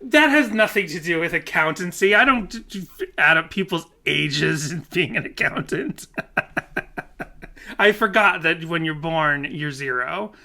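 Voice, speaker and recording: Bright-voiced man chatting on a podcast. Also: fairly high pitch, a little slow. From Korea. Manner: animated, laughs often, rambling restarts. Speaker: podcast co-host. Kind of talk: casual chat.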